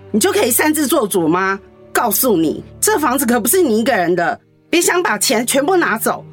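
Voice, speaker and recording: A sharp, accusing grown daughter. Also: female voice, fairly high pitch, slightly rough. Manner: brusque, accusatory, quarrelsome.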